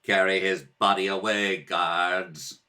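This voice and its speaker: A man's voice: low-pitched, steady tone, slow, bright, forceful tone, pitched below the performer's own voice, heavily acted. A man playing a cruel, imperious space emperor in the manner of an old radio serial, menacing and commanding. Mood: cold command. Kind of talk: 1930s radio serial acting.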